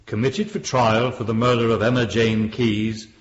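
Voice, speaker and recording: A man's voice: medium pitch, flat delivery, normal speed, natural voice. A male actor plays a formal, officious court clerk in a radio drama. He declaims solemnly in an echoing hall, with muffled sound and faint noise. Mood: solemn, official. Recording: reverberant noisy hall, muffled.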